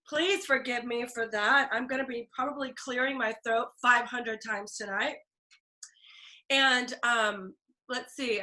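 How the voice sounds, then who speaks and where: A woman hosting a video call for her team, upbeat and chatty, with a stuffy, scratchy throat and frequent throat clearing. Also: a little high, bright, forceful tone. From Texas.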